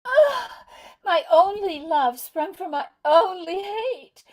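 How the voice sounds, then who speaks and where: A woman's voice: very high voice, lively intonation, slow, heavily acted, low-quality recording. A woman playing a lovestruck, anguished young heroine in a theatrical table read over a video call. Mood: tearful despair.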